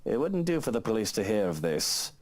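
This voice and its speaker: A man's voice: on the low side, very animated, brisk, crowd noise. A man voicing a sleepy, sarcastic young man who bosses his staff around with exasperated remarks.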